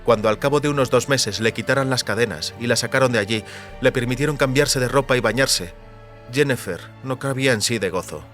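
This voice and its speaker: A man of medium pitch narrates a fantasy audiobook. His delivery is measured and literary, with faint music running underneath. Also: fairly bright tone.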